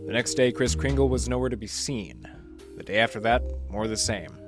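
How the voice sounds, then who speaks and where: An actor playing a wry, slangy hard-boiled detective with a soft heart, in noir radio drama style. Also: male voice, lowish voice, sing-song, normal speed, loud music behind the voice. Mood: somber narration. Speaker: lead actor and narrator.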